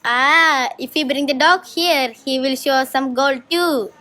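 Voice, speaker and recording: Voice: high voice, unhurried, pushed and bright, faint music behind. A young student playing a wicked, greedy, jealous neighbour in a school puppet show. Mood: scheming. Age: child or teenager. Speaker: student performer.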